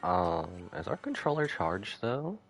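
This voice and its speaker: Male game streamer, very low voice with animated intonation, casual rambling commentary. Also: slow, gravelly, faint music behind. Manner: rambling, drifts into asides. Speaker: live-streamer.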